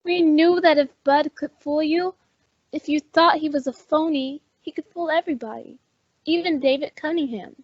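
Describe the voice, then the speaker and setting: A woman plays an eager young heroine in an old radio thriller. Her voice is very high, with an earnest, insistent delivery. The recording is dry and somewhat dull. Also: normal speed, no room sound. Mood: earnest explaining. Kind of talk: old-time radio suspense acting.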